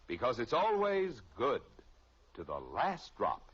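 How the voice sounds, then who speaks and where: A man's voice: medium pitch, wildly swooping pitch, unhurried. An American man reading a 1950s radio advertisement as an announcer, with persuasive, polished salesmanship. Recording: muffled old radio recording.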